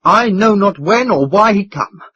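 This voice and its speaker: A man's voice: high-pitched, lively intonation, a little slow, sharp tone, slightly rough, no echo, lo-fi sound, pitched above the performer's own voice, exaggerated voice. A man voicing a flustered middle-aged hotel proprietor who stammers and talks breathlessly in a panic. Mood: agitated.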